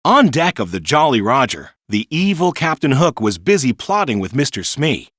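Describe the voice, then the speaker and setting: A man narrates a children's story with lively, wide-swinging intonation. His mid-pitched voice is recorded dry, and he paces the tale clearly and expressively for young listeners. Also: moderate pace, dry recording. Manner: expressive, clearly paced read-aloud storytelling.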